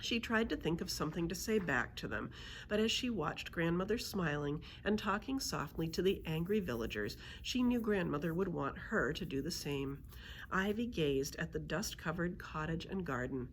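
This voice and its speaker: Animated low-voiced woman reading a storybook. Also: moderate pace, a little hiss or hum. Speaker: librarian reading aloud. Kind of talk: expressive children's storybook narration.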